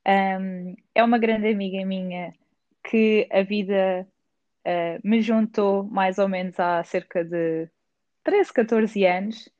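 A woman's voice: mid-range voice, steady tone, slow pace, a little mellow, no echo, muffled recording. A woman with a Lisbon Portuguese accent hosting a podcast, chatting casually with a guest and laughing often. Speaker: podcast host. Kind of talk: casual conversational interview. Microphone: remote recording.

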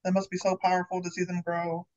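A woman's voice: on the low side, monotone, fast talker, lo-fi sound, crowd noise. A woman hosting a podcast, a teacher herself, asking friendly, rambling questions with many fillers. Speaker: podcast host. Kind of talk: casual podcast chat.

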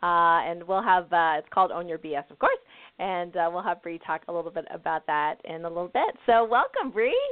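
A woman's voice: on the low side, animated, brisk, no echo. A woman hosting a live call-in radio show, talking in a bubbly, enthusiastic way and laughing often. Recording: tinny phone-line audio.

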